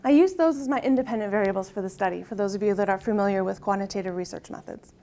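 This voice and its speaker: A soft-spoken middle-aged woman giving a conference presentation, leading an audience exercise and asking "right?" often. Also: mid-range voice, rapid-fire. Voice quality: soft-spoken, tries to project. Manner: uses frequent rhetorical "right?" tags.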